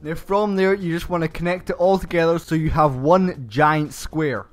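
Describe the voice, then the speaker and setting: Young man, high voice, stuffy nasal hay-fever sound, methodical tutorial host, faint music underneath. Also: fairly even intonation. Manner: slow, methodical step-by-step instructions. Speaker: channel host. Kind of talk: game build tutorial voice-over.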